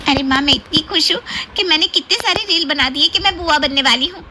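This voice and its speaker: A cheeky, childish young sister-in-law voiced by a woman for a cartoon family story. The voice is high and very sharp, whining and exclaiming eagerly. Faint noise sits behind it. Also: a little fast. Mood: excited.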